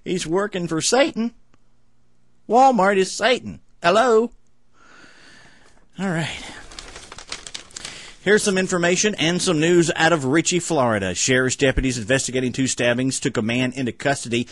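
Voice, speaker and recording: A man's voice: mid-range voice, no echo. Wry middle-aged man, dry close mic. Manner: sarcastic, wry. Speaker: host of an amateur news commentary show. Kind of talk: casual comedic news commentary, reading stories with asides. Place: small home room at a desk. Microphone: desk microphone close in front.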